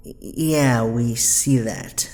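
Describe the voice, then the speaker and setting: A man playing a mild, conciliatory teenage boy in an audio drama, gentle and a little hesitant. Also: medium pitch, very slow. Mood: conciliatory, resigned. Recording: long hall-like reverb.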